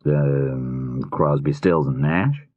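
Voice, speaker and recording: A man's voice: a bass voice, extremely slow, no room sound, phone-quality sound, full character voice. A man voicing a patient, easygoing middle-aged police detective who explains things matter-of-factly in an audiobook. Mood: friendly, awkward. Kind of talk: audiobook character dialogue.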